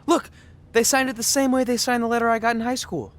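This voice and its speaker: A man's voice: very high voice, fairly even intonation, fast talker. A man acting a goofy, eager young wrestler in a video game cutscene, chatting with boyish earnestness. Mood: hopeful excitement. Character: eager goofy young male pro wrestler, wisecracking and naive.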